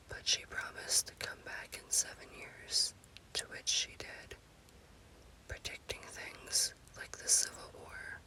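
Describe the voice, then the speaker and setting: A woman speaking in a breathy, unvoiced whisper in the style of an ASMR spooky storytelling host, with deliberate phrasing. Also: pushed and bright, rough recording.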